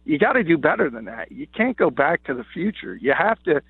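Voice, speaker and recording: A slightly hoarse man, an NFL columnist calling in, gives confident, opinionated analysis with many "uh" fillers. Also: fairly high pitch, big pitch swings, a little fast, dry recording. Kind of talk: sports radio analysis by phone. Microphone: phone. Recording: tinny dry phone line.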